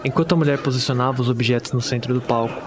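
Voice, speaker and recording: A man's voice: mid-range voice, steady tone, rapid-fire, noisy background, close to an everyday voice. A man with a Brazilian accent playing a terrified witness who recounts a horror story in the first person, dramatic and anguished. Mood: tense dread.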